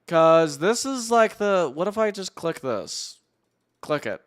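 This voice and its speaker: A young male streamer with a slightly high voice talks slowly and casually. He swears freely and mutters to himself as he works through a fiddly task. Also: big pitch swings. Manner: profane, muttering to himself while working. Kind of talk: casual live-stream commentary.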